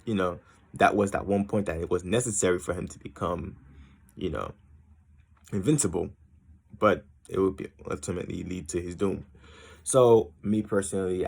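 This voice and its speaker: A man's voice: deep voice, normal speed, creaky, pauses often. A young man from Haiti giving a casual self-introduction to a class, rambling off on tangents with many ums and uhs. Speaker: student introducing himself to a class.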